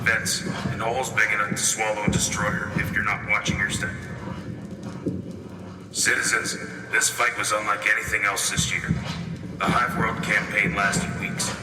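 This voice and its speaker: An actor playing a grizzled, wry frontline war correspondent giving a deadpan field report for a sci-fi radio drama. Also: male voice, lowish voice, lightly acted. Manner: deadpan, dryly sardonic. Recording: roomy long reverb, distant, music behind.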